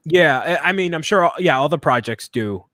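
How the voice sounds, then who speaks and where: A man's voice: a little high, lively intonation, brisk, fairly bright tone, dry recording, studio quality. A man co-hosting a casual chat, enthusiastic and opinionated, often repeating words as he talks. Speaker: co-host. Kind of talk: casual chat while building.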